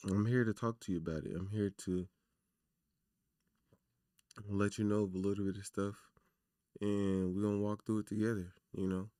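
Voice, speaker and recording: A man hosting a casual livestream, talking loosely and chattily to his viewers with a naturally raspy voice. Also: lowish voice, steady tone, normal speed, long pauses, mellow, dry recording, clean studio sound. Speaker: livestream host.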